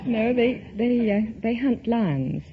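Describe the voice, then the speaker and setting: A woman's voice: a little high, animated, slow. A woman in her twenties answering casually as a contestant on a radio quiz. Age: 20s. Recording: muffled, noisy vintage radio recording.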